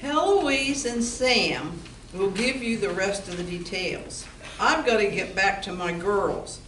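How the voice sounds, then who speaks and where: A woman's voice: medium pitch, expressive, slow. A woman playing a tough, protective bar owner in an amateur old-time radio play. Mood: businesslike. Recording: roomy, distant mic, faint noise.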